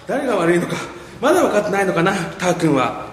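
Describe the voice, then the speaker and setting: A man playing a caring, easily flustered high school girl who scolds and coaxes in an amateur radio drama. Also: big pitch swings, quick pace. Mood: reproachful. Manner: coy, nagging, stammers when flustered. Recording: roomy reverberant echo with clear noise.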